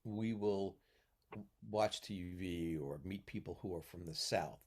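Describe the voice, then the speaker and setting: Man, low pitch, from the US Northeast, podcast mentor, lecturing, frequent "okay?" tags. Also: a little slow, a little mellow. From New York, US Northeast. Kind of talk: casual chat.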